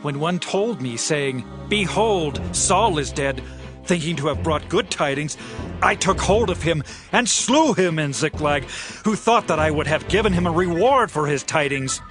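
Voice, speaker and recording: A man's voice: a little high, animated, measured pace, music in the background. An actor playing a stern, righteous king of ancient Israel in a dramatized scripture audiobook, solemn and emphatic. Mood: righteous outrage.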